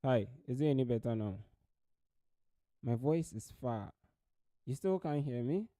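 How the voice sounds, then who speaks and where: A man's voice: mid-range voice, animated, measured pace, dark tone, dry recording, studio quality. A man hosting a work-and-study livestream, greeting viewers by name and checking whether they can hear him. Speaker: livestream host. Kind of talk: casual livestream chat. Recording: music playing behind at first.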